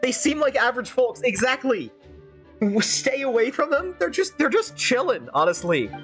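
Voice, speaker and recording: A woman's voice: sits high, sing-song, normal speed, soft background music. A woman streaming a game with improvised in-character banter, dry and sarcastic.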